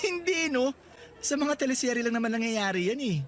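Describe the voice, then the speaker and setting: A man's voice: very high voice, expressive, moderate pace, soft background music. An actor playing a cheerful, joking young male coworker in a radio drama, teasing and boasting playfully. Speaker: Lester.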